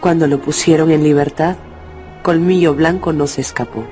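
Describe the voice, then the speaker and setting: A male narrator telling a dramatised story for radio in a measured, expressive way. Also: a little high, soft background music.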